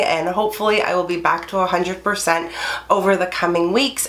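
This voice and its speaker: A woman's voice: on the low side, a bit bright. A woman chatting eagerly through a makeup review in her bedroom, her voice nasal and congested from a cold. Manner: enthusiastic, chatty. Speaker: beauty YouTuber. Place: her bedroom under filming lights.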